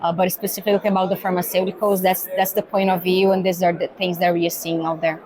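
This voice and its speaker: A woman's voice: on the low side, level tone, quick pace, a crowd in the background, poor audio. A woman from Brazil with a Brazilian Portuguese accent, answering interview questions as a cargo executive. She speaks thoughtfully, with many fillers and a habit of ending points with "right?". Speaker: guest, pharma cargo executive. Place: exhibition hall.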